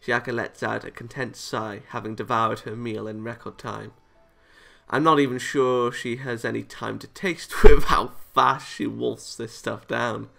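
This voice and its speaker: A man hosting a gaming video, reading a visual novel aloud and giving casual, rambling commentary in a halting way. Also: medium pitch, fairly even intonation, gravelly, sharp tone.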